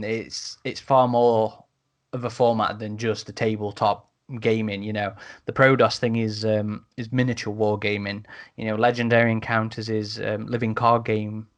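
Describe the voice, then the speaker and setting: A man's voice: fairly low pitch, steady tone, normal speed. A man hosting a podcast, steering a casual panel discussion. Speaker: podcast host moderating a panel.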